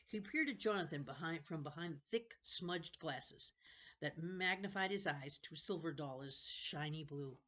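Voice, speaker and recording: A woman narrating a children's novel aloud, unhurried, sometimes going back over a phrase. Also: deep voice, a bit bright. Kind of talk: storybook narration read aloud.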